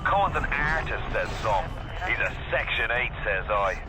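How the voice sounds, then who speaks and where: An actor playing a streetwise, gruff Irish resistance leader in a video game, guiding over a radio with colloquial, conspiratorial talk. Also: male voice, medium pitch, animated, normal speed, background noise. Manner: colloquial, folksy slang with dry cursing.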